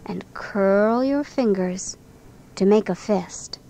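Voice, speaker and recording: Female narrator for children's guided relaxation and bedtime storytelling: gentle, very unhurried delivery, audible background noise. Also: mid-range voice. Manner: gentle, soothing, unhurried instruction. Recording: clearly noisy recording with background ambience.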